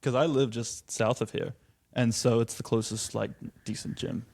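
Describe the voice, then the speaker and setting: A man's voice: mid-range voice, moderate pace. A man in his twenties from New Zealand, a podcast guest who chats casually and laughs often. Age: 20s. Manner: relaxed, laughs often, says "like" a lot.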